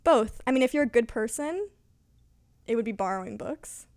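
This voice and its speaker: Young woman, fairly high pitch, book-vlog co-host, wry conversational delivery, close dry sound. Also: animated, moderate pace, a little mellow, no echo. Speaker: book vlogger, co-host asking questions. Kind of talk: casual unscripted chat.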